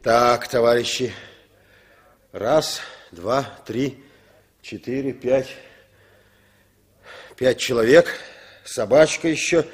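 A man's voice: medium pitch, slow, pauses often, slightly rough. An actor playing a brash, blunt telephone lineman who pushes his way along in an old radio play. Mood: brisk, businesslike. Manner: blunt, colloquial, self-important. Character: brash, pushy male telephone lineman in a hurry. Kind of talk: 1960s Soviet radio play acting.

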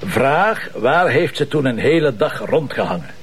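Brusque Flemish police commissioner. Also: male voice, mid-range voice, sing-song, fairly bright tone, breathy edge, full character voice. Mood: businesslike. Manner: brisk, bossy, curt. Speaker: actor. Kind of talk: TV crime drama dialogue.

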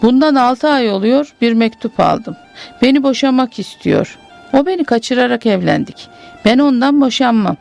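A woman reads as a poor, abandoned wife begging for help, plain-spoken and pleading. The voice is strongly gravelly, over soft background music. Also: fairly high pitch, lively intonation, moderate pace, voice pushed up. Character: poor, desperate village wife writing letters, woman. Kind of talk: literary reading.

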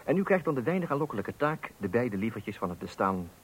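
A man's voice: mid-range voice, big pitch swings, fast talker, natural voice, rough recording. A man playing a wry fatherly narrator in a radio play, addressing men with pompous mock solemnity on a hissy old recording. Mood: wry reminiscing.

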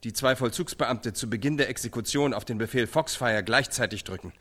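An actor playing a prison inmate who recites hard facts in a declamatory, clipped way in a radio drama. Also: male voice, mid-range voice, fairly quick, a bit bright, natural voice. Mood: grave, factual. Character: male prison inmate performing in a documentary stage play.

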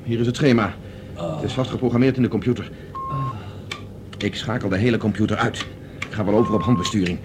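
An actor a radio play playing a resolute, practical professor on the run in space. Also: male voice, on the low side, fairly quick, long pauses, lightly acted. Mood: urgent. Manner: urgent, practical, drily defiant. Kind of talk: Dutch radio drama acting. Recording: noisy old radio drama recording.

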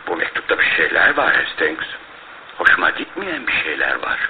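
An actor playing a shrewd, grave middle-aged detective in a radio drama, speaking in a deliberate, theatrical manner. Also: male voice, extremely low pitch, big pitch swings, full character voice. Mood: grave, uneasy. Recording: phone-like lo-fi radio broadcast, faint noise.

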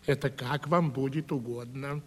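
An actor in a 1970s Russian radio play as a sly, gossipy manservant, teasing and insinuating in a folksy way. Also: male voice, medium pitch, steady tone, moderate pace, husky, big-room sound, natural voice. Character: sly, gossipy, truth-telling male manservant, needling and folksy.

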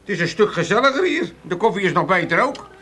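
A man's voice: high-pitched, big pitch swings, quick pace, sharp tone, slight background noise, full character voice. An actor playing a cheeky, stubborn old Amsterdam father in a Dutch comedy radio play, blunt and colloquial. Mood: stubborn, offhand.

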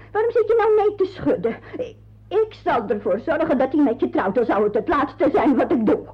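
A woman playing a fussing, coaxing aunt and mother of grown sons in a radio drama, halting and repeating herself, with a husky voice. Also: high voice, exaggerated voice. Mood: shocked, flustered. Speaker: radio play actress. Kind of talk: radio drama monologue to a silent listener. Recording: dull, muffled radio play recording.

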